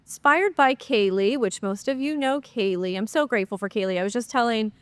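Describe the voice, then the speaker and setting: A woman's voice: on the high side, fairly quick, clear, resonant tone, no room sound. Congested upbeat woman podcast host. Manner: upbeat, chatty, many fillers. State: congested, nasal from a cold. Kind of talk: solo podcast Q&A, casual chat.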